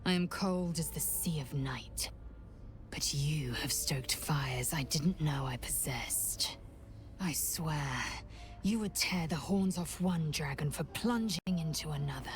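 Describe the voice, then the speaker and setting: Slow, low, smouldering warrior woman. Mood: smouldering desire. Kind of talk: video game voice acting.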